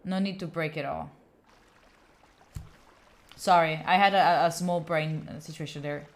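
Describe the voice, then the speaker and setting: A woman's voice: low-pitched, steady tone, measured pace. Chatty Italian woman streaming a game. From Italy. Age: young adult. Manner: chatty, talks to chat, cheers on her character with sudden squeals. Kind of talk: live gameplay stream chat.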